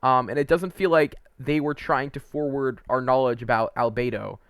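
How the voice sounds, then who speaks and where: A man's voice: medium pitch, fairly even intonation, moderate pace, fairly bright tone, clean tone, dry recording, clean studio sound. A man giving casual, opinionated video game commentary as a host, conversational and a little hesitant, wandering into asides.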